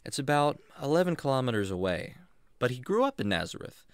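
A man's voice: medium pitch, a little fast, clear, resonant tone, dry recording. A man playing a kindly, enthusiastic jungle man who teaches patiently and expressively in a children's radio drama. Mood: gentle, reflective. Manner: expressive, reassuring, explanatory.

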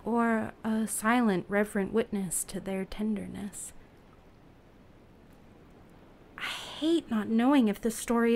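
An actress playing a reflective, anguished raft dweller from an ocean world in an audio drama, halting and often hushed. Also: female voice, mid-range voice, slight background noise, natural voice.

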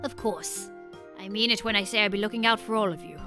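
A woman's voice: medium pitch, fast, music in the background, pitched below the performer's own voice, lightly acted. A woman voicing a kindly, fretful male scientist penguin in a cartoon game story, with polite, old-fashioned manners. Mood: gentle.

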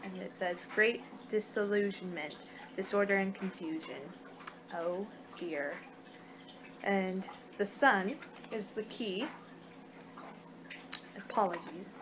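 A young woman doing an ASMR card-reading role-play with a halting, unhurried delivery. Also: mid-range voice. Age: young adult. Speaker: ASMR performer in a fortune-teller role-play. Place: small home room, indoors. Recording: noisy amateur webcam-style room recording.

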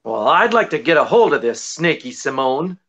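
A swooping, jaunty gentleman detective. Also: fairly high pitch, very animated, normal speed. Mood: inquisitive. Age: middle-aged. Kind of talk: 1940s radio comedy acting. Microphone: seated before camera on video call.

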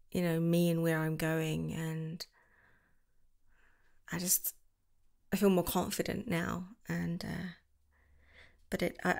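Woman for an ASMR girlfriend roleplay: affectionate, gushing, slips into whispers, slight vocal fry. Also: lowish voice, a little mellow.

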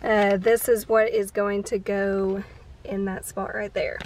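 A woman's voice: mid-range voice, little pitch movement, slow pace, a little mellow, a little hiss or hum, rough recording. An American woman in her thirties narrating a casual how-to vlog from inside a parked open-top vehicle. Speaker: vlogger.

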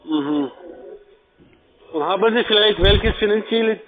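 A man with a foreign accent giving a sales pitch as a telephone agent. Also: measured pace. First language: not German. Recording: tinny phone line.

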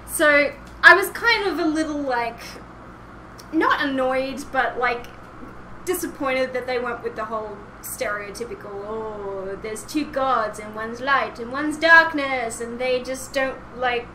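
Young female podcast host, high-pitched, chatty and giggly, with clear background noise on the call. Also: a little slow, sharp tone. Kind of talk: casual podcast chat. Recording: clearly noisy voice-call audio.

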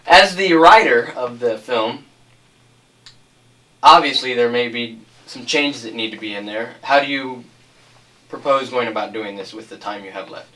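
A man's voice: medium pitch, moderate pace, long pauses, sharp tone, recorded from a distance, poor audio. A man working as an interviewer, putting questions to film cast and crew on camera.

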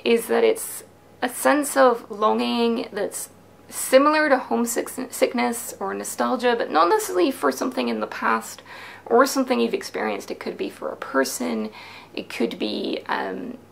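A woman's voice: on the high side, moderate pace, gravelly, a little hiss or hum. A woman in her thirties presenting her knitting patterns in a chatty, enthusiastic way, explaining techniques step by step, seated at a craft table in a small home studio. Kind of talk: casual explanatory video talk.

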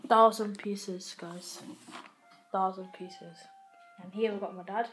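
A woman's voice: medium pitch, steady tone, takes it slowly, fairly bright tone, soft background music. A woman with an English accent giving a casual tour of her home, talking in a chatty, unsure way with lots of fillers while filming on a handheld phone indoors. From England. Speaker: vlogger giving a house tour. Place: home kitchen and living room, indoors. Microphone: handheld phone camera while walking. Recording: noisy phone audio with handling clicks.

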